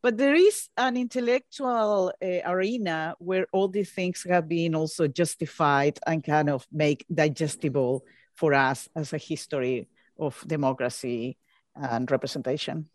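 A woman's voice: sits low, measured pace, no echo. A woman in her fifties from Chile with a Chilean Spanish accent, hosting an interview over a video call and searching for her words with many fillers. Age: 50s.

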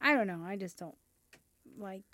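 A woman's voice: mid-range voice, lively intonation, moderate pace, fairly bright tone. A woman guest on a podcast, chatting casually with blunt, teasing remarks. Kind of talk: casual chat.

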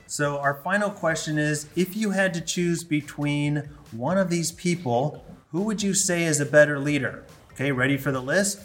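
A woman's voice: deep voice, normal speed. A woman interviewing young students, encouraging and affirming as she moves from question to question. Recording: echoey room with music behind.

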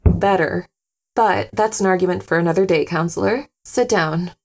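A woman's voice: lowish voice, normal speed. An actress playing a candid wife who spars affectionately with her husband in an audio drama, casual and teasing.

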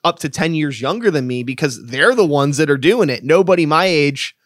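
A man's voice: a little high, expressive, fairly quick, fairly bright tone, no echo. Thirty-something guy from pittsburgh hosting a chill interview, rambly, says like a lot, dry close mic. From Pittsburgh area, USA. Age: 30s. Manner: loose and chatty, heavy filler "like", swears casually. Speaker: podcast host. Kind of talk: casual interview chat.

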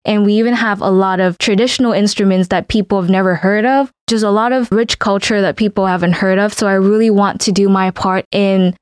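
A woman's voice: medium pitch, steady tone, brisk, few pauses, no echo, clean studio sound. A woman in her twenties from Vietnam whose first language is Vietnamese, talking with earnest reflection as a podcast co-host. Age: 20s. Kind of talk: casual chat.

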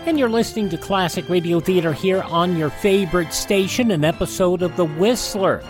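A man's voice: high-pitched, moderate pace, over music. An American man hosting a radio show, presenting and reading news items. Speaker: radio host.

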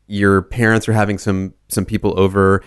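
Low, smooth-voiced man, closely recorded. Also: flat delivery, normal speed, no echo, studio quality. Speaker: interview guest in the wine and spirits business. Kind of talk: casual anecdotal chat.

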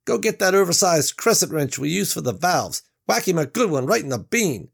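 Brash crude workman, voiced by a male narrator, raised pitch, lively delivery. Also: moderate pace, creaky, breathy edge, exaggerated voice. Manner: slangy, brash. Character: crude cocky male factory worker.

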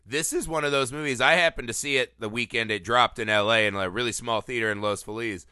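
A man hosting a casual film podcast, animated and teasing, a little sniffly from a cold. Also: medium pitch, fast talker, clear, resonant tone, no echo, studio quality. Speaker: podcast host. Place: Los Angeles area.

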